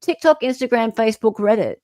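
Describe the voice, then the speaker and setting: A woman's voice: on the high side, a little fast, mellow, dry recording, clean studio sound. An Australian woman hosting an online show, reading a legal filing aloud with emphatic, incredulous off-the-cuff commentary.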